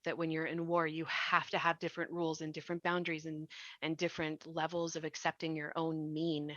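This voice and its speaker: A woman's voice: deep voice, monotone, a little fast, fairly bright tone, dry recording. A woman giving reflective advice in a podcast discussion, earnest and reassuring, on slightly dull audio. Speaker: podcast panelist.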